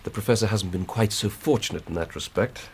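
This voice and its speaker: An English actor playing an earnest, plain-spoken police inspector in a radio drama, reporting methodically and emphatically. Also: male voice, on the low side, fairly quick, slight background noise, close to an everyday voice. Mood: earnest, matter-of-fact. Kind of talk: 1990s British radio drama acting.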